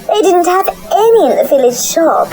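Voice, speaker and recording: A woman's voice: very high-pitched, animated, normal speed, mellow, faint music behind, poor audio. A woman playing a sweet, doddery old village granny in a comic radio drama. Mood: sweet, sly.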